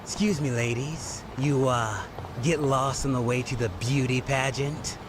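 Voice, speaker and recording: An actor playing a smug, lecherous young gangster pickup artist with a smooth-talking manner in an English video game dub. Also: male voice, mid-range voice, steady tone, a little slow, noisy background, close to an everyday voice. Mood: leering.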